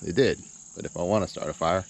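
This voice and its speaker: A man's voice: sits low, fast. A man narrating a casual camping vlog outdoors, rambling and hesitant, with easy chuckles. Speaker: channel host. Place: outdoors at a campsite. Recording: outdoor background noise.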